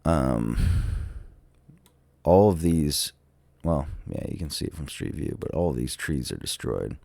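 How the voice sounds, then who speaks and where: A man with an extremely deep, gravelly voice, talking slowly and unhurriedly while he is sick with a cold. He muses out loud in a casual, hesitant way, full of ums and pauses. The sound is dry and close, with no room around it. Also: lively intonation, no room sound. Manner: hesitant and musing, many ums and trailing pauses. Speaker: vlogger touring tornado damage on a map. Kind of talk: casual thinking-aloud commentary.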